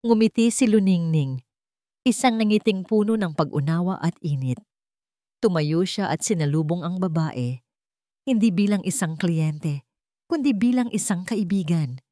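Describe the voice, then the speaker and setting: A woman's voice: fairly low pitch, lively intonation, moderate pace, dark tone, no echo, clean studio sound. A Filipino woman reading a scripted romantic drama story in an earnest, sentimental storyteller's manner. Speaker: storyteller, narrator of an online story channel.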